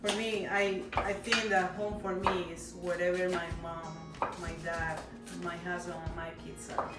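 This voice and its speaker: A woman's voice: lowish voice, little pitch movement, measured pace. A woman from Peru with a Peruvian Spanish accent, casually talking through her cooking as a native Spanish speaker. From Peru, mountain region. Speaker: home cook showing a dish. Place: indoor kitchen with chopping and pots. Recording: echoey kitchen, distant mic, loud music underneath.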